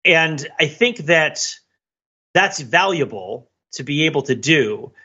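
A man's voice: on the high side, lively intonation, slow pace, sharp tone, slightly rough, lo-fi sound. An American man co-hosting a podcast, talking casually in a discursive, analytical way and telling personal anecdotes. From New Jersey, United States. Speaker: podcast co-host.

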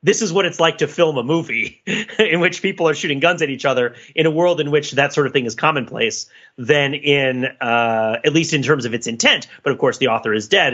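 A man's voice: medium pitch, fairly bright tone, husky, dull audio. A man co-hosting a podcast, casually analysing film in a discursive way, peppering his points with filler phrases like "you know". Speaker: podcast co-host.

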